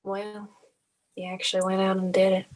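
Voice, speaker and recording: A woman's voice: medium pitch, completely flat delivery, moderate pace, a little mellow, natural voice. A woman playing a stern, aggrieved man from a video game world in a script read, curt and cold. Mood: cold, resigned. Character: stern, resigned, aggrieved male game resident. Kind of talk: animated film script table read.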